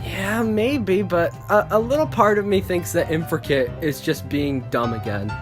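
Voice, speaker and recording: A woman's voice: lowish voice, lively intonation, a little slow, music in the background. A woman casually reading out a script and commenting on it as host.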